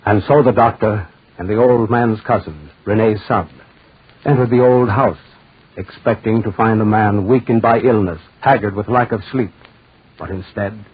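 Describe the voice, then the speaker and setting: Dramatic eerie narrator, 40s radio, low voice, phone-like muffled sound. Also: male voice, husky. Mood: ominous. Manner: dramatic, deliberate. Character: grave storyteller of an eerie tale. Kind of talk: 1940s radio scripted narration. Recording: muffled, tinny 1940s radio recording.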